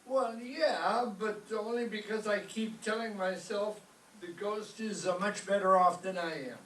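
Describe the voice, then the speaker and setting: A man's voice: high voice, measured pace, a bit bright. A man playing a melodramatic, put-upon old maestro who wisecracks sardonically in an old-time radio comedy. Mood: wry. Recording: echoey room, distant mic, faint noise.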